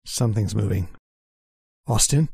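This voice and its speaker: An actor playing a brave, reassuring explorer in a horror radio drama, speaking quietly and steadily with some hesitation. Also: male voice, on the low side, unhurried, vocal fry, noisy background. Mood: growing unease.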